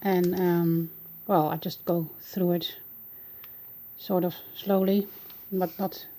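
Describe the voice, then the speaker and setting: A woman's voice: fairly low pitch, level tone, slow, warm tone, rough recording. A woman with a Dutch accent, a native Dutch speaker, casually narrating a flip-through of her art journal and searching for words as she goes.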